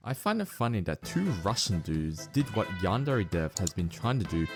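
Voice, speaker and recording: Lowish-voiced young man narrating over music. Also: sing-song, moderate pace. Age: young adult. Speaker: video essayist. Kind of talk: scripted narration, informal video essay. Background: music behind the voice.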